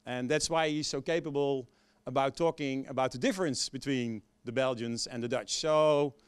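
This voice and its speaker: A man introduces the next speaker at a convention in a casual, filler-laden way. His voice is very clear and resonant, a touch higher than most. The sound is dry, with no room echo. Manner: casual, with fillers and a building buildup. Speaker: event host introducing a speaker.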